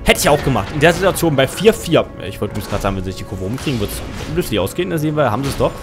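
A man's voice: mid-range voice, very animated, very fast. A young man with a German accent casting a match live, thinking aloud and correcting himself as he goes. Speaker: game caster. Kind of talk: casual game commentary. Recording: music under the voice.

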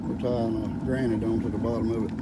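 A man in his sixties with a Southern US accent, explaining fishing in a casual, folksy, unhurried way as a vlogger. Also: fairly low pitch, level tone. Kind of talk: casual outdoor vlog talk and explanation. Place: open fishing boat on a lake, partly in a vehicle. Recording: loud boat motor and outdoor noise.

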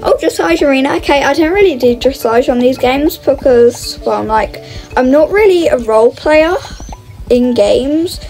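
Female gaming host, high voice, casual and exclamatory, thinking aloud, faint music underneath. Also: lively intonation, normal speed, a little mellow, poor audio. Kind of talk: casual gameplay commentary.

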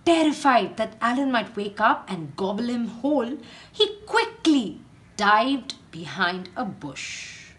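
A woman with an Indian English accent, a teacher reading a picture book aloud in a very slow, expressive storytelling way. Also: fairly high pitch.

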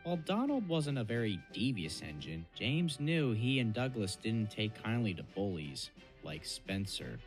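A man's voice: lowish voice, big pitch swings, measured pace. A man reading scripted storybook narration for a cartoon train tale. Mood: storytelling. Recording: music behind the voice.

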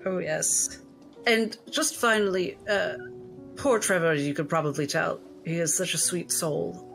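A woman voicing a genteel doctor in a tabletop game, polite and prim while quietly scheming. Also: fairly low pitch, steady tone, unhurried, gravelly, soft background music. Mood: sweetly insinuating.

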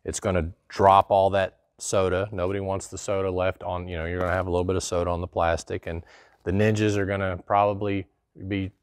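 Host type: middle-aged man, lower voice, dry studio sound, relaxed explainer. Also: fairly quick, clear, resonant tone, dry recording. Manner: conversational, folksy, answers a viewer question. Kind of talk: casual explanatory talk to camera. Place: small studio in front of a plain wall.

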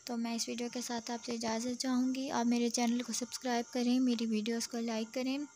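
A woman's voice: fairly high pitch, a little fast. Level-toned woman narrating a home recipe. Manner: steady, explanatory, addresses viewers directly. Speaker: cooking channel host. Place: home kitchen.

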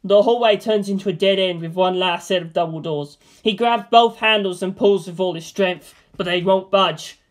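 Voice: medium pitch, normal speed, few pauses. A narrator reading screenplay scene headings and action lines as scripted narration.

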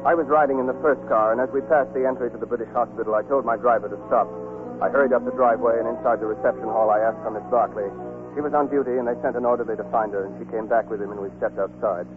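An actor playing an earnest young lieutenant, speaking as lead and narrator in 1940s radio style. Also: male voice, medium pitch, fairly even intonation, fast, lightly acted. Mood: sober recounting. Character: earnest young lieutenant in love, recounting war. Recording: muffled 1940s radio broadcast with music.

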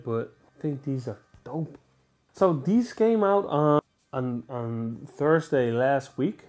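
A man in his twenties with a Dutch accent, talking casually and excitedly about a new pair of sneakers in a small room. He rambles, restarts his sentences and throws in a lot of fillers. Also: mid-range voice. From Netherlands. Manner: chatty, enthusiastic, rambling with restarts. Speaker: sneaker vlogger. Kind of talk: casual unboxing review. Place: small indoor room, seated on a sofa.

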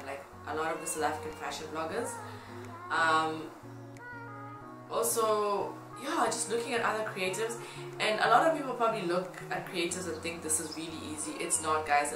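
A woman in her twenties from Tanzania chatting casually to camera in an upbeat, informal way. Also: on the low side. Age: 20s. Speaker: fashion blogger. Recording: roomy echo, music behind. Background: music.

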